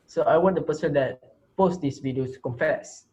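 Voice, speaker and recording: A man plays a menacing schoolteacher turned captor in a reader's theater drama. His voice sits fairly high for a man, and he toys with his victims in a mocking, taunting way. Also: moderate pace, a little mellow, lightly acted. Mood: stern, accusing. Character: menacing male teacher holding his class hostage, mocking, taunting. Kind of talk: amateur reader's theater acting.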